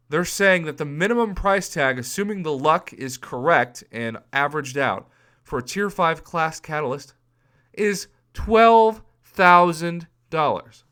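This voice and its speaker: A man in his thirties with a hoarse voice, talking casually to his viewers and working through game prices out loud with animated emphasis. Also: medium pitch. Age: 30s. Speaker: mobile game YouTuber. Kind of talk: casual video commentary.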